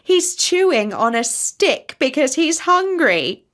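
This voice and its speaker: Woman putting on a high-pitched mocking goose. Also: sing-song, slow pace, a bit bright, full character voice. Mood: mocking, amused. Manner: jeering, exclaiming. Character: mocking, gossipy goose in a migrating flock. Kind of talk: children's storybook character voice.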